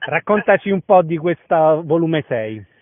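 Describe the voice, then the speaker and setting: A man's voice: a little high, expressive, unhurried, no echo. A man hosting a conversational arts interview on the radio, putting questions to a guest. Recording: narrow, phone-like radio sound.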